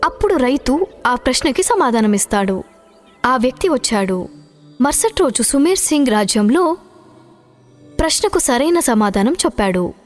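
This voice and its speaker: Lively high-voiced woman narrating a children's story. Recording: music behind the voice. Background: background music.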